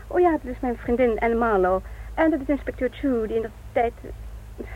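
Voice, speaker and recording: A woman acts a brittle middle-aged mother in a radio drama, wary and dramatic in delivery. Her voice sits slightly high, on a thin old recording with faint hiss. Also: animated, normal speed, narrow, tinny audio, lightly acted. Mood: guarded, shaken. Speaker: actor in a radio play. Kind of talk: Dutch radio play acting.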